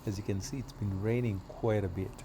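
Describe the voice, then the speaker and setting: A middle-aged man from Kenya chatting casually while doing yard work outdoors, with relaxed pauses and fillers. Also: fairly low pitch, noisy background. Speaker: vlogger.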